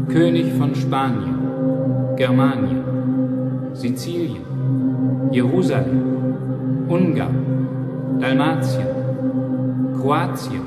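A man playing a solemn herald in a radio drama, making grand, drawn-out ceremonial proclamations. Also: medium pitch, close to an everyday voice. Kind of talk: German radio drama acting. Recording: echoing long reverb, loud music.

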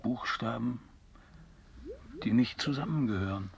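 A man's voice: medium pitch, a little slow, gravelly. An actor in a German radio drama playing a self-absorbed, theatrical would-be writer composing his memoirs. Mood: musing.

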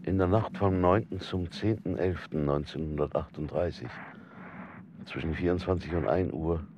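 An actor playing a persecuted husband in a documentary radio drama, recounting his sworn testimony in a formal, measured, precise way. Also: low-pitched, background noise, close to an everyday voice. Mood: sober, grave.

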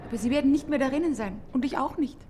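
A woman's voice: high voice, racing, dark tone, slight background noise, lightly acted. An actress in a German radio play as a playful, romantic young female student, girlish and dreamy. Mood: shy, wistful.

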